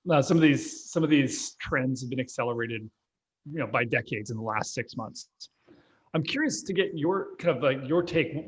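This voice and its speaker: A man's voice: medium pitch, rough recording. A man co-hosting a podcast, asking long conversational questions and often repeating words on a video call. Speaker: podcast co-host.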